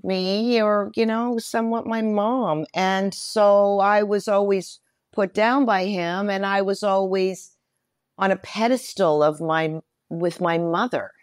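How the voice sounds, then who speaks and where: A woman in her sixties speaking candidly and reflectively as an interview guest, with measured emphasis. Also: mid-range voice, steady tone, no room sound, clean studio sound.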